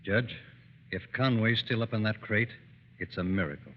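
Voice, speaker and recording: An actor playing a brisk, plain-spoken airport radio operator in an old radio drama. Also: male voice, on the low side, slight background noise, natural voice. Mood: reassuring, frank. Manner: brisk, matter-of-fact. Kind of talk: 1930s-40s radio drama acting. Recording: muffled, reverberant old radio recording.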